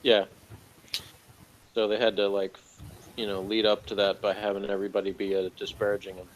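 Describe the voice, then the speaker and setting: A man chatting casually as a review co-host, speaking in a hesitant, halting way. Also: on the low side, little pitch movement, a little hiss or hum, poor audio. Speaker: podcast co-host reviewing audio dramas.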